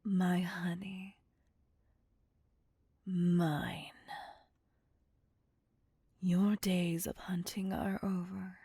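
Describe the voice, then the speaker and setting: A woman voicing a possessive, vain vampire mistress in an ASMR roleplay, breathy and often whispering, with condescending endearments. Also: on the low side, level tone, dark tone, no room sound, full character voice. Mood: possessive, coaxing. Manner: slow, deliberate, condescending endearments. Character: possessive, vain female vampire, commanding and seductive. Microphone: close, dry microphone.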